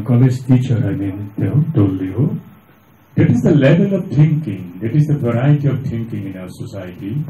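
A man's voice: medium pitch, warm tone. An older man with an Indian accent answers as a panelist, lecturing emphatically with rhetorical questions. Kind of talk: public panel discussion. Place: large indoor auditorium. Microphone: handheld PA mic, heard from the audience camera. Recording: echoey hall PA, camera from a distance.

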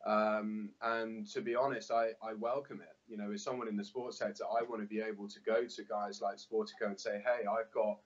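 A man in his thirties speaks in a lowish voice over a video call, earnest and a little halting as he doubles up words. The laptop audio is dull and lo-fi. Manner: earnest, repeats words while thinking. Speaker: interview guest. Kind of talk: remote interview answers. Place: indoor room with glass doors. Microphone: laptop webcam on video call.

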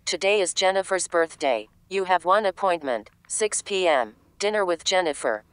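A performer of unclear sex voicing a polite, emotionless digital assistant with flat, formal announcing in a radio drama. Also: medium pitch, moderate pace, dry recording, lightly acted. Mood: polite, neutral.

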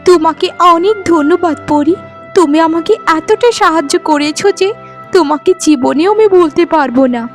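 A woman's voice: very high-pitched, moderate pace, faint music behind. A woman playing a humble, rejected young wife in a melodramatic cartoon, speaking in a plaintive, lamenting manner. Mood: grateful.

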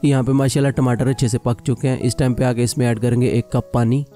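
A man narrating a cooking video voice-over, explaining each step calmly and plainly. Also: mid-range voice, fast, faint music behind. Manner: calm step-by-step instruction. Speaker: cooking channel host.

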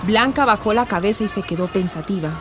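A woman's voice: medium pitch, quick pace, over music. A woman with a Colombian accent narrating a scripted radio drama.

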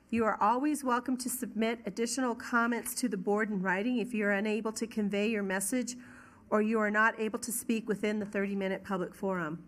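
A woman chairs a formal board meeting, reading the rules for public comment slowly and deliberately. When she calls up speakers, her tone turns more casual. She speaks into a desk microphone in a large council chamber. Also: mid-range voice, fairly bright tone. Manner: reads rules slowly, then corrects herself casually.

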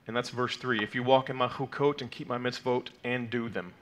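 A man's voice: medium pitch, steady tone, brisk, a bit bright. A man in his thirties teaching a weekly Torah portion to a congregation from a lectern, explaining terms patiently with frequent fillers and "okay?" check-ins. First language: not Hebrew. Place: sanctuary, mid-size indoor hall.

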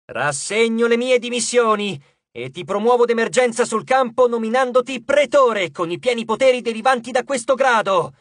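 Man doing a loud drill-sergeant young officer. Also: very high-pitched, moderate pace, dry recording, clean studio sound, pitched above the performer's own voice. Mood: solemn, commanding. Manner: loud, ceremonious, declamatory. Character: young male officer, solemn drill-sergeant shout, formal proclamation.

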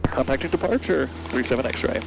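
A man's voice: mid-range voice, a little fast, gravelly, rough recording. An American man, a pilot, making hesitant, clipped radio calls into a headset in a small plane cockpit. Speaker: private pilot. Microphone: aviation headset boom mic. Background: music, aircraft.

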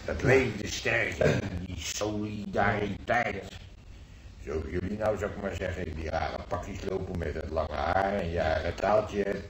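An actor playing a nostalgic, gruff old war veteran grandfather in a radio drama, telling his memories haltingly, repeating himself in earthy colloquial words. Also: low-pitched. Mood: defiant. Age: older. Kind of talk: radio drama acting, reminiscing monologue. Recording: roomy old radio play, faint noise.

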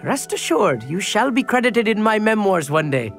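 A man's voice: high-pitched, sing-song, normal speed, fairly bright tone, exaggerated voice. A man playing an eager, self-important teenage boy astronomer in a video game, wordy and full of enthusiasm. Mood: grand, delighted. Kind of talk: video game cutscene acting. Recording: music behind the voice.

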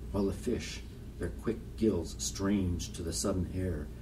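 Man, low-pitched, poetry reading, distant mic, faint background noise. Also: unhurried. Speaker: poetry reader. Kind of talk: literary poem reading.

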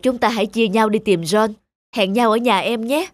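A woman voicing a kindly, concerned male schoolteacher in a children's cartoon dub, speaking gently and earnestly. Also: on the high side, normal speed, slight background noise, lightly acted.

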